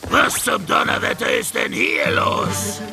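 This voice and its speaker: A man voicing a blustering, short-tempered cartoon pirate captain who bellows threats, with loud music behind him. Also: very high voice, animated, measured pace, creaky, heavily acted. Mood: baffled outrage.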